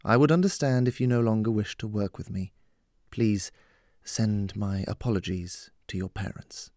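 A man voicing an aging gentleman mentor, kindly, courteous and wry, in audiobook dialogue. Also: mid-range voice, lively intonation, normal speed, no room sound, studio quality, lightly acted. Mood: soft, regretful. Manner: flippant, courteous, composed.

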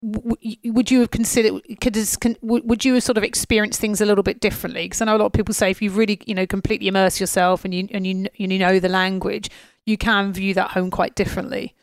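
A woman's voice: medium pitch, flat delivery, quick pace, no echo. A woman interviewing a guest, asking eager, stumbling questions. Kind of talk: conversational interview.

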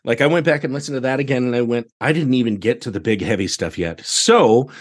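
American man, medium pitch, casual podcast chat, exclamatory storytelling, quotes himself. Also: fast talker. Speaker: podcast guest, theatre director.

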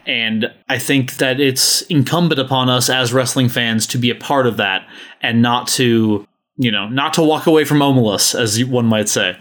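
A man's voice: medium pitch, moderate pace, a bit bright. A man co-hosting a podcast, moving between scripted introductions and casual chat with a theatrical, announcer-like delivery. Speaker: podcast co-host.

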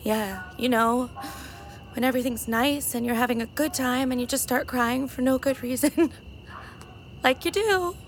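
A woman's voice: high-pitched, a little slow, pauses often, a little hiss or hum. A woman playing an apologetic, emotionally fragile character in an audio drama, hesitant and self-deprecating. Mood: awkward, upset. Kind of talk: audio fiction drama acting.

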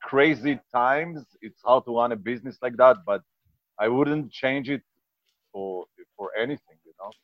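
A man's voice: medium pitch, moderate pace, dry recording, lo-fi sound. A man in his forties from Israel, a band member and bar owner, talking earnestly in a casual interview and searching for words. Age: 40s. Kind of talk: casual interview over a call.

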